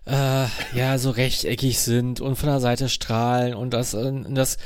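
A man in casual podcast chat, haltingly repeating words as he searches for phrasing. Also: mid-range voice, flat delivery, background noise. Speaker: podcast co-host.

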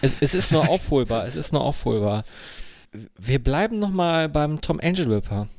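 A man's voice: fairly high pitch, animated, normal speed, a little mellow, dry recording, clean studio sound. A man hosting a casual podcast quiz, teasing a little and repeating himself for emphasis. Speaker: podcast host running a quiz. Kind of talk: casual quizmaster chat.

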